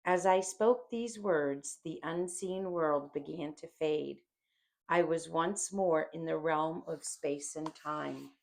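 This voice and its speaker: Slow, lower-pitched woman reading solemnly. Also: creaky, a little mellow. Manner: solemn, deliberate. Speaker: audiobook reader. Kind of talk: dramatic scripted narration.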